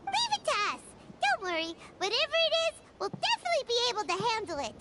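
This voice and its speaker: A little fairy sidekick from an anime game. The voice is extremely high, childlike and squeaky. It bounces around in exclamations, with heavy noise behind it. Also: female voice, huge pitch swings, quick pace, exaggerated voice. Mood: bubbly, eager. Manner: refers to self in third person, exclamatory. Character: tiny excitable female fairy companion, childlike, chatty.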